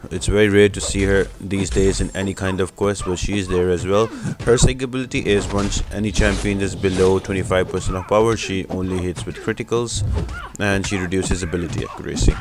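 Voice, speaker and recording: A man's voice: low-pitched, steady tone, a little fast. A man giving game walkthrough commentary in a plainly explanatory, instructional way, as the host of a guide channel.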